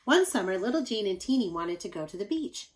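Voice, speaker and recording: A woman's voice: medium pitch, fairly quick. Woman reading a picture book aloud. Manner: animated, addresses young listeners with asides. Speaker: teacher reading aloud to children.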